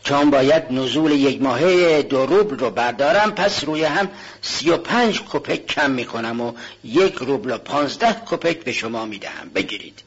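A man with a husky voice playing a greedy, stern old widow who works as a pawnbroker in a storybook reading, curt and haggling, on a dull lo-fi recording. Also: mid-range voice, animated, voice pushed up. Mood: calculating. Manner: curt and haggling, dry and stingy.

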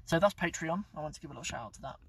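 A young man chats loosely to his viewers, joking and correcting himself mid-sentence. His voice sits a little high and slightly husky. It is recorded from a bit away from the mic, dry inside a car. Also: lively intonation, rapid-fire, recorded from a distance. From England. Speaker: YouTube channel host. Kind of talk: casual live-stream chat.

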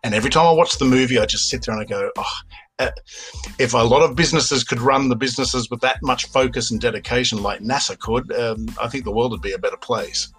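Man, fifties, Australian accent, mid-pitched husky voice, anecdotal interview guest, enthusiastic. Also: fast talker, a bit bright, soft background music. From Australia, grew up in Sydney. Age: 50s. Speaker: podcast guest, documentary filmmaker. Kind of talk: interview storytelling.

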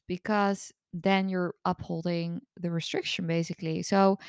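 A hoarse woman in her thirties who has lost her voice, a coach hosting her own podcast in a candid, chatty way. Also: on the low side, no room sound. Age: 30s. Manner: candid, chatty, open-book. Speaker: podcast host and eating disorder recovery coach. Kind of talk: casual solo podcast monologue.

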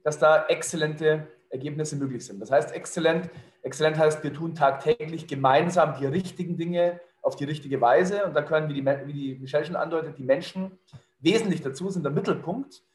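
A middle-aged man talks in a businesslike, fluent way, his voice a little higher than most. The sound comes from an echoey room. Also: a little fast. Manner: fluent, businesslike, many fillers. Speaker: leadership consultant. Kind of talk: conversational interview answer.

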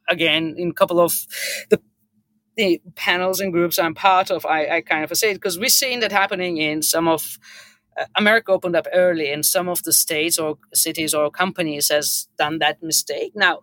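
Middle-aged Turkish-accented woman, bright and forceful, earnest unscripted panel speaker from the hotel business. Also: on the low side, no room sound. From Turkey.

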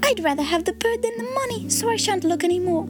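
A woman's voice: very high-pitched, quick pace, over music, pitched above the performer's own voice. A woman doing a tender, cheerful poor little girl.

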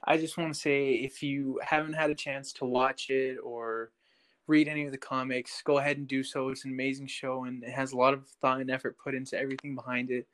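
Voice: medium pitch, level tone, fairly quick, fairly bright tone, low-quality recording. A podcast co-host speaking in a casual conversational style.

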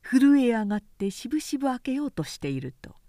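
A woman narrates a historical novel in a measured, dramatic way. Her pitch moves freely and expressively, and the sound is dry, with no room around it. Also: no room sound. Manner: clear, measured, expressive storytelling delivery.

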